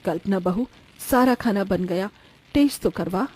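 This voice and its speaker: A woman acting an expressive, melodramatic household woman in a family cartoon story. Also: mid-range voice, moderate pace, breathy edge, natural voice, poor audio. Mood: flustered.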